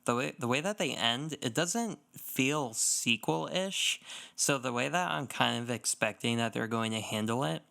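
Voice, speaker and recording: A man's voice: mid-range voice, normal speed, vocal fry, bright, forceful tone. A man co-hosting a gaming podcast in casual chat, feeling his way through sentences with lots of fillers. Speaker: podcast co-host.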